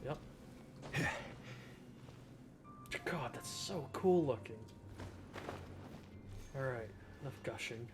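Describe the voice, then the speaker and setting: A man's voice: medium pitch, animated, very slow, pauses often, background noise. A young man giving casual, slangy, joking gameplay commentary as a streamer. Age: young adult. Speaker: game streamer.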